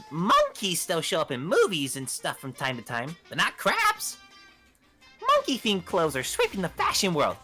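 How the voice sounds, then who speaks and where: A woman's voice: mid-range voice, pushed and bright, exaggerated voice. A woman voicing a smug, theatrical robot bear on a scoffing rant in an amateur game playthrough. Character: cute high-pitched robot bear, smug and ranting.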